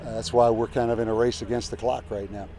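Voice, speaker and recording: A man's voice: medium pitch, flat delivery, quick pace, mellow, slight background noise. A man, a football coach, giving an informal interview outdoors at a practice field.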